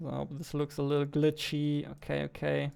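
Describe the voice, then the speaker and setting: A man in his twenties talking casually as a game developer while coding, halting as he works at his desk. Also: on the high side, completely flat delivery, vocal fry, darkish tone, smooth. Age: 20s. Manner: halting while typing, reads text aloud slowly. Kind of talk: casual narrated coding livestream or devlog. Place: indoors, at a computer desk. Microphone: studio mic on boom arm, close.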